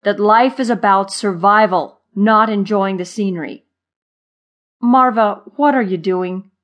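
A woman's voice: sits low, steady tone, normal speed, dark tone, close to an everyday voice. A woman voicing a sour, pessimistic male grasshopper in a children's story, grumbling in a snappy, emphatic way. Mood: weary, reproachful.

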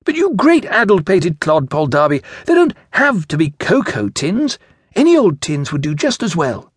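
Guy doing a keen british schoolboy in a storybook, pitched way up, pushy and excited, swoopy intonation. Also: moderate pace, slightly rough, no echo, clean studio sound, full character voice. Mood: insistent, exasperated. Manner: enthusiastic, insistent, slangy. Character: eager, impulsive eleven-year-old English schoolboy.

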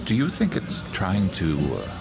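An actor playing a wry, curious friend in a radio drama, asking questions with thoughtful, unhurried pauses. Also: male voice, on the low side, wildly swooping pitch, gravelly, full character voice. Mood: curious, probing. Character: wry, curious male friend asked to investigate the uncanny. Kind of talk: modern radio drama acting. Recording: loud music behind the voice. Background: loud music.

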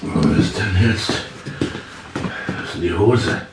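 An actor playing a stiff, aging retired army officer in a radio play, muttering and disapproving in clipped, repetitive phrases. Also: male voice, deep voice, slow, creaky, heavy background noise, heavily acted. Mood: brooding. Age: older. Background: music.

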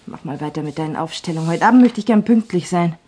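An actress playing a brisk, teasing office secretary in a German crime radio play. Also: female voice, lowish voice, expressive, darkish tone, husky. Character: brisk, bossy but friendly female office secretary. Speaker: actor in a crime radio drama.

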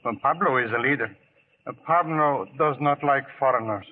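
An actor playing a gentle, conscientious old guerrilla guide, speaking solemnly and simply in vintage radio drama style. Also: male voice, mid-range voice, moderate pace, background noise, close to an everyday voice. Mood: hushed, watchful. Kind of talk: 1940s radio acting. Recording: narrowband vintage radio recording, faint music.

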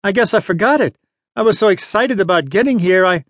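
Storybook boy, voiced by a man: earnest, stammering, apologetic, raised pitch. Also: expressive, moderate pace, fairly bright tone, dry recording, clean studio sound. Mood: flustered, stammering. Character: earnest, easily flustered young boy with a dog. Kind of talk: storybook character voice.

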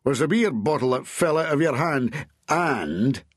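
A man's voice: medium pitch, animated, unhurried, dry recording, studio quality, voice pushed up. A man voicing a grumpy, sarcastic police boss who snarls and scolds in exasperation.

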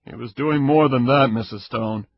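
A man voicing a stern male state police investigator, curt and forceful. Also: mid-range voice, normal speed, breathy edge. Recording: dull lo-fi recording.

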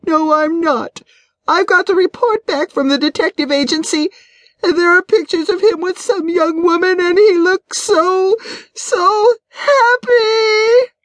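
Woman acting a high-voiced, distraught betrayed wife. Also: animated, moderate pace, dry recording. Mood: tearful wailing. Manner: tearful, wailing, indignant. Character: distraught betrayed middle-aged wife, wailing and bitter.

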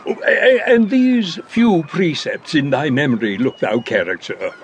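Slow, pompous old father. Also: a little high, animated, slight background noise. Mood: fond, sententious. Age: older. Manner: pompous, halting, sententious advice-giving. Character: fussy, rambling old father, a pompous royal counsellor. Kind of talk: Shakespearean audio drama acting.

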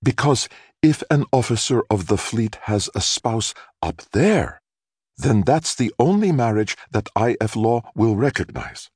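A melodramatic single mother acted out by a male narrator, plaintive and full of self-pity. The voice is lifted and theatrical, swinging in pitch, as audiobook dialogue in a dry recording. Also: animated, a little slow, darkish tone, slightly rough, dry recording. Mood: insistent.

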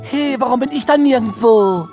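A man's voice: extremely high pitch, moderate pace, over music. A man playing a goofy, overeager, clownish sloth in a children's audio play. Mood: hurt.

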